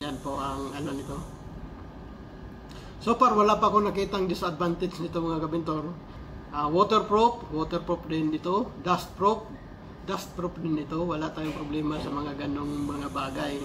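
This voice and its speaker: A man giving a casual vlog-style gear review in a halting, explanatory way, repeating key words. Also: a little high, pushed and bright. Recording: clearly noisy amateur recording.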